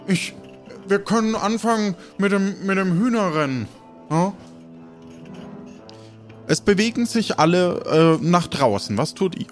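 A man narrating an improvised adventure game as game master, halting and searching for his words. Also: high voice, lively intonation, soft background music.

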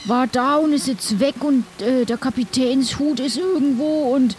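A woman's voice: sits high, moderate pace, a little mellow, a little hiss or hum. A woman playing in an improvised role-play, talking casually in character.

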